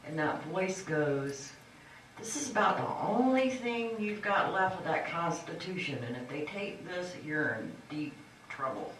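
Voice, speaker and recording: A woman's voice: deep voice, a bit bright. Woman candidate giving a fired-up folksy speech, far from the mic, big echoey hall, bit of hiss. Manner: fiery, folksy, emphatic. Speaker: candidate for county supervisor. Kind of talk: forum campaign speech. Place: large echoey meeting hall.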